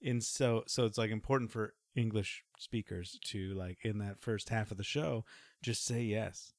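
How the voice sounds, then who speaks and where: A man's voice: fairly low pitch, fairly even intonation, a little slow, no room sound, clean studio sound. A man hosting a podcast, chatting casually in a rambling, thoughtful way full of fillers. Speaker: podcast host.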